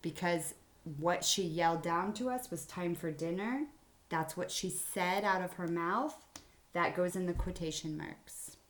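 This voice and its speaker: Slow-talking woman teaching children. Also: on the low side. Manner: simple, explanatory phrasing addressed to children. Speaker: elementary school teacher. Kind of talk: read-aloud writing mini-lesson for children.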